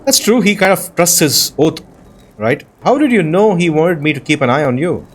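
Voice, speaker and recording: A man hosting a gaming video in casual commentary, sometimes reading dialogue choices out loud. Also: a little high, lively intonation, moderate pace, breathy edge.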